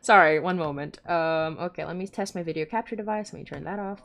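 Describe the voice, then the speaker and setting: A woman's voice: lowish voice, fast talker, creaky, a bit bright. A woman hosting a casual livestream, chatting informally and often interrupting herself as she goes. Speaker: podcast host and creator.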